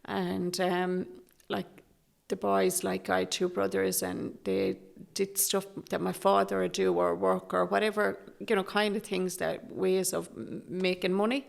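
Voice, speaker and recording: Soft-spoken Irish woman with a gravelly voice. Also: lowish voice, a little slow. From Galway, Ireland. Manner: soft-spoken and hesitant, with many fillers and restarts. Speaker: guest, Traveller activist. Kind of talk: candid interview conversation.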